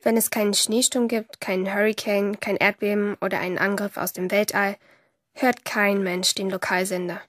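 A woman's voice: mid-range voice, flat delivery, moderate pace, fairly bright tone, no room sound, studio quality, close to an everyday voice. An actress playing a shy, lovestruck teenage schoolgirl, narrating confidingly in a radio play. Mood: wry. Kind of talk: first-person radio drama narration.

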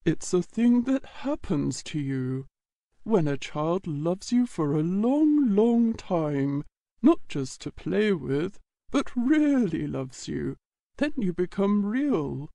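Woman voicing a slow, mellow, wise old toy horse. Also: on the low side, very animated, gravelly, no room sound, full character voice. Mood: gentle, wise. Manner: slow, gentle, patient explaining. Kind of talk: storybook audiobook character reading.

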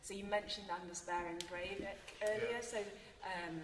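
A woman's voice: medium pitch, steady tone, moderate pace. A woman chairing a panel, asking a long question with many hesitant ums. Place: large hall, seated on stage. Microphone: far room mic. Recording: echoey hall from far off, faint noise.

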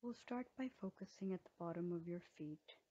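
A woman yoga teacher leading a guided healing meditation in a slow, soothing way with gentle pauses. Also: on the low side, breathy edge, lo-fi sound.

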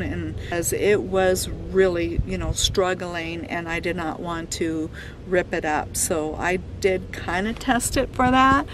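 A middle-aged woman talks at an unhurried pace, chatty and explanatory, walking through her setup with plenty of "okay" and "um." She is recorded outdoors at a desert campsite, with steady wind and vehicle noise clearly audible behind her voice. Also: on the low side. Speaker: van life vlogger. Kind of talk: casual how-to vlog talk. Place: outdoors at a desert campsite under a sun shade.

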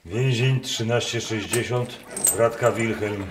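An actor in a radio play voicing a humble, pious prisoner of about eighty, with a Masurian rural dialect and a folksy storytelling way. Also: male voice, lowish voice, steady tone, slow, background noise. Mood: deferential. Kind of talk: radio drama acting. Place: wooden barn, live performance. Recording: loud guitar music behind voice. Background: loud guitar music.

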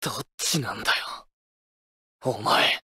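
An actor playing a cocky, rough-talking, scornful teenage baseball ace in an anime-style game. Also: male voice, fairly low pitch, unhurried, close to an everyday voice. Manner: brash, scornful. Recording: loud game music behind the voice.

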